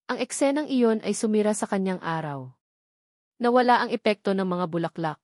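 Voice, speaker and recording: A woman's voice: mid-range voice, expressive, normal speed, a little mellow, dry recording, clean studio sound. A woman reading a scripted romantic drama story as its narrator.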